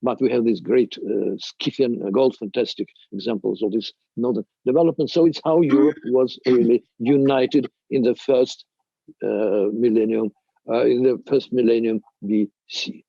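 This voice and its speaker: A man's voice: mid-range voice, fairly even intonation, gravelly, dark tone, no echo. Older Russian-accented man, dull lo-fi sound. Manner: halting, emphatic, non-native grammar. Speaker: museum director giving a presentation. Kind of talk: extemporaneous panel presentation.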